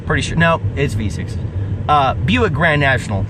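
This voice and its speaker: A man's voice: on the high side, animated, normal speed. Profane young man ranting about cars outdoors. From US Pacific Northwest. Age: 20s. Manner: profane, emphatic, repeats himself, laughs at own jokes. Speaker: car vlogger. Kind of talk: casual ranting vlog. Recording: outdoor recording, mic at a distance, traffic noise.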